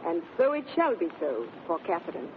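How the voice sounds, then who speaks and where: An actress playing a spirited, sharp-tongued wife in emphatic, theatrical 1930s radio Shakespeare style. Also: female voice, fairly low pitch, very animated, a little fast. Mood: weary submission. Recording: narrow, noisy vintage 1930s radio recording.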